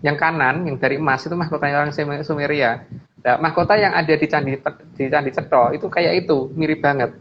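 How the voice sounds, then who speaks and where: A man's voice: on the high side, fairly even intonation, a little fast. A man with an Indonesian accent explaining slides informally, emphatically repeating his phrases. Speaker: guest speaker explaining slides. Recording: dull lo-fi audio with background noise.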